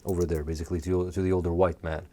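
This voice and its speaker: A deep, mellow male voice, a native French speaker talking in English. He delivers a personal monologue to camera as a video essay host, earnest and measured, leaning on "you know" and "like" as he thinks aloud. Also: level tone. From Quebec. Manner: earnest and measured, with frequent fillers.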